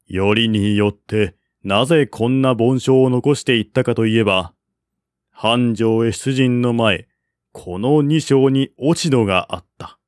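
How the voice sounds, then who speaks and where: A man reading a historical novel as scripted narration, in a formal, measured literary manner. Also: mid-range voice, no echo, clean studio sound. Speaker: audiobook narrator.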